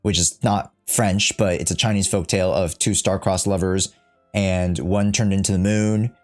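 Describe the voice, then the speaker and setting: A man's voice: sits low, steady tone, normal speed, soft background music. A man livestreaming a casual, slangy reaction to a game, joking and rambling as he goes.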